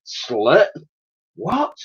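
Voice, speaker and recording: Man putting on a high, pleading little girl. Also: sing-song, a little slow, breathy edge, lo-fi sound, heavily acted. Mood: indignant. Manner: pleading, earnest. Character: hungry, pleading, indignant little orphan girl.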